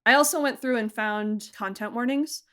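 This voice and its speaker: A woman's voice: a little high, moderate pace, pushed and bright. Chatty laughing woman producer. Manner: chatty, laughs often, many likes and restarts. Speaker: executive producer. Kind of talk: casual interview.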